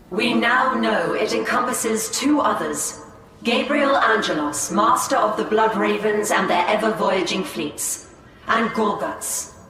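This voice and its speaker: An actress playing a solemn, resolute female elf seer in a video game cutscene, speaking in a measured, formal way. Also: medium pitch, very echoey, distant mic, background noise, close to an everyday voice. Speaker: game character.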